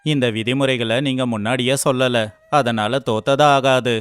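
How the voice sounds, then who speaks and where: A man playing a humble, principled and dignified king of a small realm in a moral tale, speaking politely and in measured words. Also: medium pitch, fairly even intonation, fairly bright tone, smooth, no room sound, soft background music, natural voice. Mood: earnest, firm. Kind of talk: moral story character dialogue.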